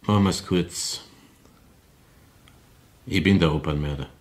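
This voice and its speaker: An actor playing a bitter, woman-hating killer in a crime radio drama, confessing deliberately in Viennese dialect. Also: low-pitched. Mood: grave, confessing. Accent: Viennese Austrian. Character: confessing opera-house murderer, brooding, bitter woman-hater in his thirties.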